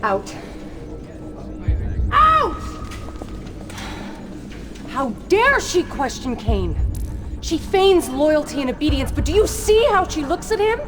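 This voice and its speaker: An actress playing a fanatically loyal, jealous military officer in a video game, with an intense, dramatic, clipped delivery. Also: female voice, high voice, big pitch swings, slow, long pauses, exaggerated voice. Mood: furious, jealous. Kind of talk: video game cutscene acting. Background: loud dramatic music.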